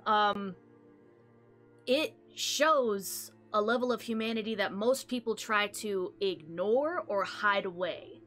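High-voiced young woman chatting over music. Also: fairly bright tone. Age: young adult. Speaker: gaming video host. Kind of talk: casual, chatty video commentary. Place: small indoor room.

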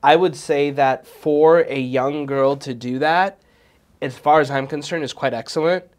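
A man in his thirties judging a kids' talent show in a small studio, speaking in a measured, thoughtful way. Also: on the high side. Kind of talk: casual commentary. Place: small studio with decorated wall.

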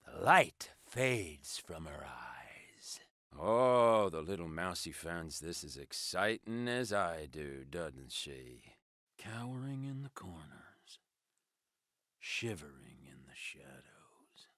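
A man's voice: low voice, sing-song, takes it slowly, dry recording, clean studio sound, full character voice. An actor playing a sadistic, gloating male villain in a video game, taunting his prey with menacing glee. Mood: soft, sinister taunting. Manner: taunting, gleeful, menacing, swinging from murmurs to shouts. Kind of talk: video game combat voice lines.

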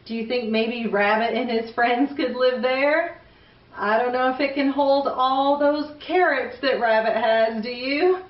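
A woman's voice: fairly high pitch, a little slow, dull audio. A middle-aged or older woman hosting a children's story time, speaking expressively to children and often asking them questions. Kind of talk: storybook reading and chatting to children.

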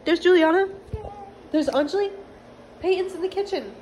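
A young woman in college chatting casually to her phone camera in a relaxed vlog, upbeat and full of fillers. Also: very high voice, moderate pace, a little hiss or hum, rough recording. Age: young adult. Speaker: college student vlogger. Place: dorm room and outdoors. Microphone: phone held at arm's length.

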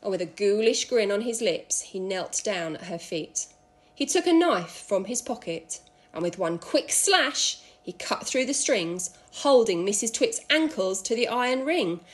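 A woman reading a children's story aloud in an expressive narrating style. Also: mid-range voice, measured pace. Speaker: school staff member reading aloud.

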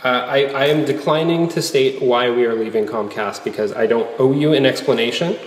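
A man playing a weary, insistent customer cancelling a service, in a formal, measured dramatic reading. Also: mid-range voice, slight background noise, natural voice. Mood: firm, weary. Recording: echoey hall-like reverb.

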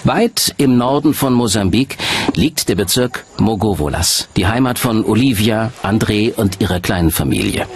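A man's voice: fairly low pitch, animated, normal speed, slight background noise. A man delivering scripted documentary narration as a voice-over presenter.

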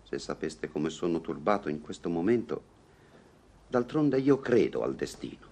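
Flustered lovestruck gentleman, highly animated. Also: moderate pace, husky, full character voice. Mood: troubled, tender. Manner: theatrical, hesitant declarations. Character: flustered egoistic gentleman, newly lovestruck, awkward and earnest. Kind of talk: vintage Italian radio comedy acting.

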